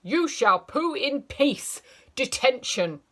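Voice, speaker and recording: A woman reading aloud puts on a tyrannical old schoolmistress who is always furious and barks every order. The voice is bright and sharp and lurches around in pitch. It is loud, spiteful and forceful throughout. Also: sing-song, slow pace, exaggerated voice. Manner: shouting, spiteful, barking orders.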